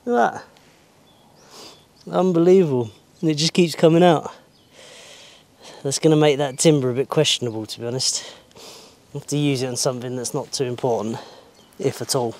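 A man's voice: medium pitch, fairly quick, pauses often, darkish tone. A man in his thirties filming his own building work outdoors at a sawmill yard, talking plainly and thinking aloud with fillers. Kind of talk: casual self-filmed vlog.

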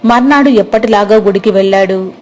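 A woman's voice: medium pitch, fairly quick, a little mellow. A woman storyteller reading a scripted moral story as narration.

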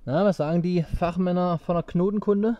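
Man, 30s, outdoor survival vlogger, casual and upbeat, somewhat high pitch, forest camera audio. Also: fairly even intonation, fairly quick, muffled recording. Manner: chatty, addresses viewers directly, upbeat. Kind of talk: casual outdoor vlog talking to viewers. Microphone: action camera mic at a distance.

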